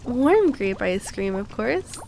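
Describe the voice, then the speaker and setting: A woman playing a cute, kind and teasing young alchemist girl in an ASMR roleplay, speaking softly and soothingly close to the mic. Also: mid-range voice, big pitch swings, gravelly, slight background noise, full character voice. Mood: playful, cozy. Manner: soft, soothing, unhurried, playfully reassuring.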